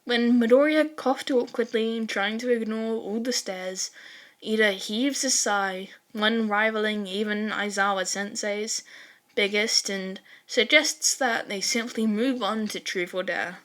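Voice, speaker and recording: A woman narrating fan fiction in an expressive amateur audiobook style, with animated dramatic asides. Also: medium pitch, measured pace. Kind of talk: expressive amateur audiobook reading of a story, with dramatized character lines.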